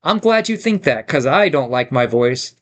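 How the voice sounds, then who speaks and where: A man's voice: mid-range voice, big pitch swings, normal speed. A man casually chatting on a livestream, reading viewer comments aloud and rambling with lots of fillers and restarts. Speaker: streamer reading viewer chat.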